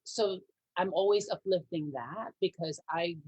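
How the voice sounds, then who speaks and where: A woman's voice: fairly low pitch, unhurried, darkish tone, husky, no echo, low-quality recording. A woman from the Philippines speaking earnestly and reflectively as a guest on a panel discussion.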